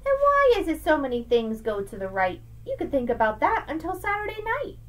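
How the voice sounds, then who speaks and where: A middle-aged woman speaks as a teacher to young children, as if in a storybook lesson at home. Her voice sits fairly high and moves in big, animated swings. She leans on key words expressively, picked up by a webcam in a small indoor room. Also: fairly quick, a little hiss or hum. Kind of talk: read-aloud lesson for children, rhyming picture book. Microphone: webcam at arm's length.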